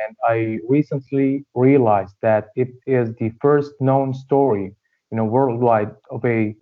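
A young man from Syria, a student, answering interview questions in a friendly way with many fillers. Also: mid-range voice. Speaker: guest, dental or medical student. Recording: tinny phone-like remote line.

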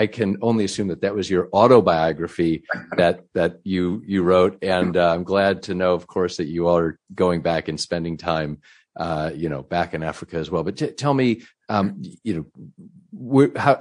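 A man speaks as an interviewer in a low-pitched voice. His delivery is conversational and effusive, with long, winding phrases that circle toward a question. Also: normal speed. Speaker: interviewer, host.

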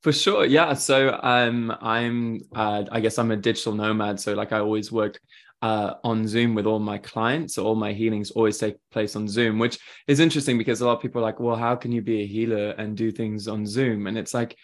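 A man's voice: on the low side, fairly even intonation. A man with a British accent, a podcast guest speaking in an upbeat, easygoing way. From Irish, British and Canadian, raised in France. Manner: upbeat, easygoing, quick to laugh. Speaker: podcast guest, spiritual mentor. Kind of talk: casual interview chat.

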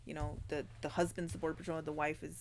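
A chatty woman from the border region with a Mexican-American accent, talking loosely in a group podcast. Her voice sits a little low and she laughs easily between points. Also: level tone, fast, a little mellow, a little hiss or hum. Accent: US border Mexican-American English. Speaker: podcast co-host. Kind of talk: casual group chat.

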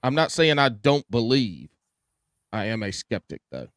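A man's voice: medium pitch, big pitch swings, measured pace, fairly bright tone, dry recording, clean studio sound. A man co-hosting a casual podcast while congested with a stuffy nose, with a wry, teasing manner. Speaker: podcast co-host. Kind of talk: casual chat.

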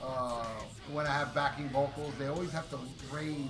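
A loud-voiced man co-hosts a podcast in a brash, boastful, profane manner. His voice sits a little high and sounds roomy. Music plays behind him. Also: little pitch movement, moderate pace. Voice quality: very loud, powerful voice. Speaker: podcast co-host. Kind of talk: casual podcast chat.

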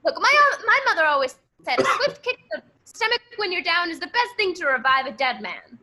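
A woman's voice: very high voice, very animated, fairly quick, bright, forceful tone, full character voice. A woman playing a rambunctious, over-earnest amateur detective in an improvised radio-play comedy. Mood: earnest, eager. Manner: eager, insistent, over-earnest.

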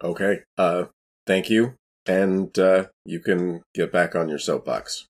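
Deep-voiced guy playing an rpg, kinda husky, feels his way through questions. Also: slow pace. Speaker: player in a tabletop game. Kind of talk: casual in-game chat.

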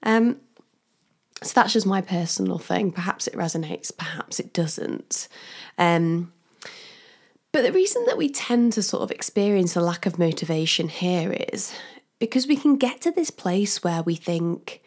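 A woman hosting her own podcast as a career coach, talking in a chatty, upbeat way straight to the listener and laughing often, her voice still slightly croaky from a cold. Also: fairly low pitch, animated, a little slow, darkish tone. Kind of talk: casual solo podcast monologue.

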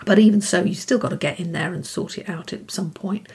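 Husky-voiced woman hosting. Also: lowish voice, a little fast, darkish tone. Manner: friendly, rambling, many fillers. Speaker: podcast host. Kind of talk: casual interview chat.

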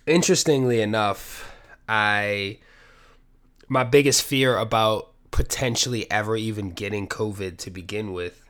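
A man with a somewhat low voice rambles through a casual solo podcast from his apartment. He is sniffly and sick with a cold, and he talks loosely and profanely, with a self-deprecating tone. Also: a bit bright. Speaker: podcast host. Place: home apartment.

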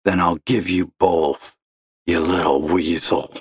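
A man voicing a miserable, gravel-voiced caller who talks slowly and gloomily. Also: deep voice, fairly even intonation, no room sound, clean studio sound, pitched below the performer's own voice, full character voice. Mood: gloomy, bitter. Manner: slow, gloomy and accusing. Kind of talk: audio story reading.

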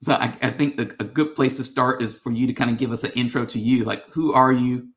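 A man's voice: medium pitch, fairly even intonation, brisk, a bit bright. A man hosting a casual interview show, asking rambling, friendly questions with plenty of ums and restarts. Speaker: podcast host.

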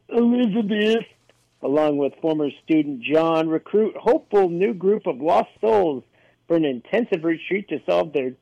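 A man reading trailer summaries on a casual panel podcast and cracking sarcastic jokes about them. His voice sits a bit high, and the recording is dry with no room sound. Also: vocal fry, a little mellow, dry recording, muffled recording. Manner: sarcastic and jokey.

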